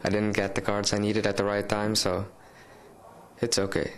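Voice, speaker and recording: An eighteen-year-old man from the Netherlands, speaking calmly after being knocked out. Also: fairly low pitch, moderate pace, background noise. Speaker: poker player. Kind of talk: post-game interview.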